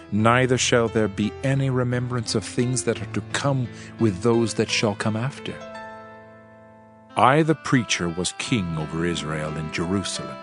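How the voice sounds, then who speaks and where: An actor playing a world-weary preacher king in a dramatized scripture reading, solemn, measured and oratorical. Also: medium pitch, close to an everyday voice. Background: background music.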